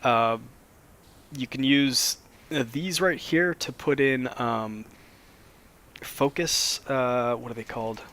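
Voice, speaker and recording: A man, a little hoarse after a cold, casually explaining a modded game as he plays, with plenty of ums and restarts. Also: medium pitch, slow, long pauses. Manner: relaxed, rambling, lots of fillers. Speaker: Minecraft let's play host.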